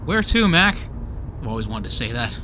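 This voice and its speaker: A man's voice: mid-range voice, big pitch swings, moderate pace, background noise, natural voice. A man acting in a radio play as a breezy, impulsive, good-natured driver with casual, chatty talk. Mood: easygoing, upbeat.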